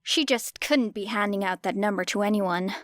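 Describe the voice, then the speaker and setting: A woman's voice: medium pitch, moderate pace, no room sound. Woman narrating fiction, dry close sound. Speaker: audiobook narrator.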